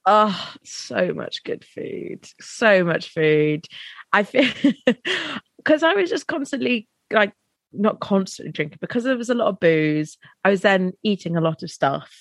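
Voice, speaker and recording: A young woman, co-hosting a casual podcast chat, her voice croaky and tired, laughing and casual. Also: mid-range voice, very animated, unhurried, no room sound. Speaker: podcast co-host.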